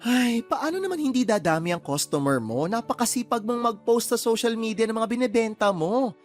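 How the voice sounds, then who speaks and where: A man's voice: very high-pitched, animated, a little fast, soft background music. A man playing a flamboyant, chatty, meddling best friend in a Tagalog radio drama, with a sassy, theatrical delivery. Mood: nagging, concerned.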